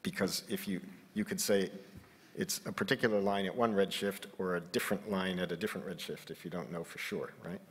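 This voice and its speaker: Low husky man moderating a press conference. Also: steady tone, brisk. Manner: plain, conversational, hesitant with fillers. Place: conference room at a podium. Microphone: podium microphone.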